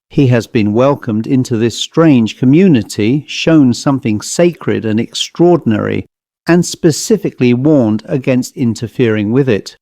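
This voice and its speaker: Mellow-voiced male horror story narrator. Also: medium pitch, dry recording, studio quality. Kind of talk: scripted narration of classic ghost stories.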